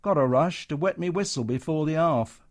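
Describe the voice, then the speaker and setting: A brassy young music-hall showgirl, as a male reader puts her on, high and bold. She speaks, breezy and familiar. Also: normal speed, lightly acted.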